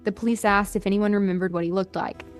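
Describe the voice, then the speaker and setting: A woman's voice: medium pitch, steady tone, fast, faint music behind. A woman hosting a podcast, telling a true-crime story in a conversational, colloquial way. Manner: casual, colloquial phrasing with frequent "like". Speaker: podcast host.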